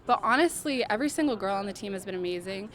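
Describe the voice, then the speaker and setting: A woman's voice: mid-range voice, animated, fast, fairly bright tone. Upbeat young woman talking to reporters. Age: young adult. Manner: upbeat, chatty, fillers like "I mean" and "like". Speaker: college basketball player. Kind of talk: media interview answers.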